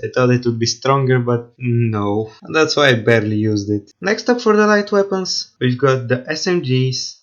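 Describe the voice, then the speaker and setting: A man's voice: mid-range voice, muffled recording. A man narrating a game review in an informal, plainspoken and opinionated way.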